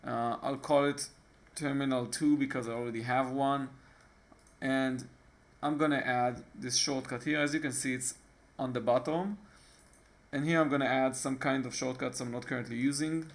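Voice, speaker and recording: An Israeli man with a medium-pitched voice hosts a casual screen-recording tutorial. He speaks in a relaxed, unscripted way and often stumbles into fillers and restarts. He sounds like he is recording at his own desktop computer. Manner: halting, frequent fillers and restarts. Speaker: tutorial host.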